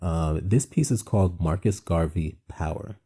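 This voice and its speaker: A man's voice: sits low, measured pace, warm tone, breathy edge. A man from Jamaica hosting an art presentation, talking in a conversational, reflective and earnest way with frequent asides. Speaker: art show host and founder.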